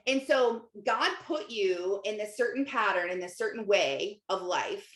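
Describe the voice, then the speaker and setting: A woman's voice: mid-range voice, expressive, measured pace. A woman with a mid-range voice gives an informal motivational talk as a host and life coach. She is conversational and emphatic, leaning on repetition and rhetorical questions. The sound is roomy and echoey. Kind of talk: casual motivational livestream talk to viewers, reading out comments.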